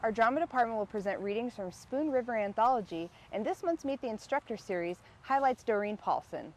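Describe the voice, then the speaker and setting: A woman's voice: on the high side, animated, brisk, a little mellow. A woman hosting a TV magazine show, reading a scripted introduction. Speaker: TV show host.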